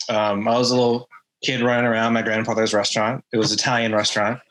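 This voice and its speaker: Bright-toned lower-voiced man telling his story. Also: fast talker, rough recording. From Atlanta, USA. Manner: relaxed, rambling with many ums and restarts. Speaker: guest, craft brewer. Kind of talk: casual interview storytelling.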